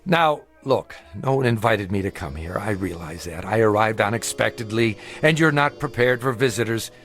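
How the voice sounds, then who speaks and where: A man's voice: fairly low pitch, expressive, normal speed, a bit bright, soft background music. An actor playing a suspicious, sarcastic male traveller in a television drama, arguing with theatrical emphasis. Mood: indignant. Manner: argumentative, sardonic, theatrical emphasis. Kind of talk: dramatic television-style acting.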